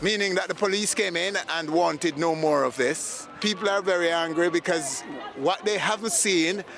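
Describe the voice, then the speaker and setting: A man's voice: high-pitched, moderate pace, over music. A man with a Jamaican accent presenting and reporting as the host of a broadcast show.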